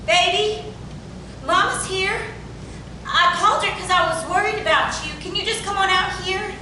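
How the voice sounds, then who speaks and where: A woman playing a frightened, devoted young wife, earnest and halting as she pleads in a stage play reading. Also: pushed and bright. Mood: pleading, anxious. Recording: very echoey room from far off, rumbling noise.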